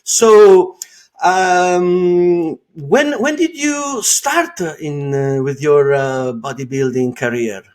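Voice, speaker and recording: An Italian man with an Italian accent hosts a podcast interview in English, his second language. His voice sits on the higher side for a man. He hesitates often and fills gaps with fillers and restarts. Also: very slow. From Italy. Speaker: podcast host. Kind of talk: casual interview.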